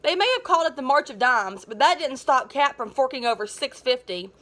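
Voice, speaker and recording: Female reader as a sour schoolboy ex-bully, high-pitched, sarcastic, resentful, children's novel narrator. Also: lively intonation, a little fast, bright, forceful tone. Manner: sarcastic, grumbling storytelling. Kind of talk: first-person children's novel read aloud.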